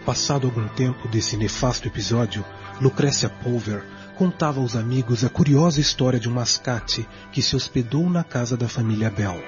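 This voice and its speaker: A man's voice: medium pitch, normal speed. A man reading scripted dramatic narration for a radio drama. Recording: dull recording, faint music behind.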